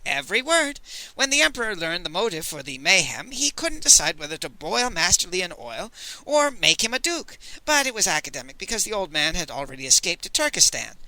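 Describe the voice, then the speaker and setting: Guy doing a cheeky flamboyant young courtier, chirpy and raised way up, bouncy and bright. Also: sits high, very animated, brisk, full character voice, rough recording. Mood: gossipy. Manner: chirpy, gleeful, theatrical storytelling.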